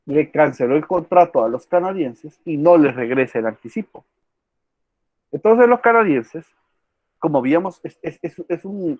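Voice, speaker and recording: A man's voice: on the high side, dry recording. Man lecturing law, dull audio. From El Salvador. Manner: quizzes students by name, repeats key words. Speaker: law professor. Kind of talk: online class lecture.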